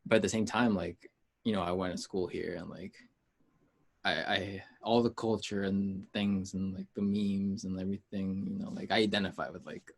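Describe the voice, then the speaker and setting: A man from the United States chatting casually as an interview guest, informal and rambling, pausing to gather his thoughts. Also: deep voice, flat delivery, gravelly. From United States, Peruvian heritage. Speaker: interview guest, music artist.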